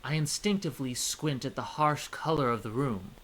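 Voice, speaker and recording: A man streaming a visual novel, reading its story aloud and reacting in a chatty, expressive way. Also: mid-range voice, normal speed. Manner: chatty, expressive, swears casually. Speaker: let's play streamer.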